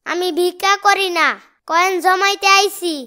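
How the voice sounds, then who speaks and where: Very high, bright, cheeky cartoon boy. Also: little pitch movement, moderate pace. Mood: matter-of-fact. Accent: Bangladeshi. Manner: bold, argumentative, insistent. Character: cheeky, stubborn little boy, the cartoon's young hero.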